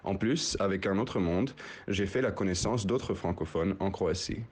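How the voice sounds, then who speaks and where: Low-voiced man from Belgium, measured. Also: darkish tone, husky. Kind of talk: personal testimony.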